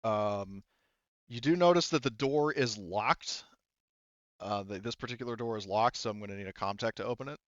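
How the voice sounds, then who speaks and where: A man running a tabletop roleplaying game as game master, narrating casually and haltingly with muttered asides. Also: fairly low pitch, no room sound, muffled recording.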